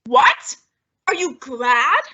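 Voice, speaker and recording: A melodramatic young housewife from an old-time radio sitcom, played by a woman. Her voice is high, bright and unhurried. She delivers everything with indignant, exaggerated comic flair. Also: big pitch swings, highs cut off, full character voice. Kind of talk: 1950s radio sitcom acting.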